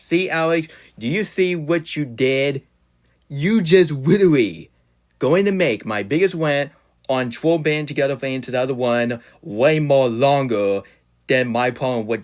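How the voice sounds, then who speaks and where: A young man ranting angrily at another online creator, stuttering, restarting and repeating words as he scolds him directly. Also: medium pitch. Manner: scolding, addresses the target directly. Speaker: YouTube ranter. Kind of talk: angry rant to camera.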